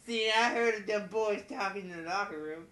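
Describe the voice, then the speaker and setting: A man's voice: sits high, brisk, sharp tone. A man voicing a naive, eager young female small-town gossip reporter in an amateur comedy radio play, talking in a folksy, ungrammatical way. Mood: gushing. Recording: noisy home podcast recording.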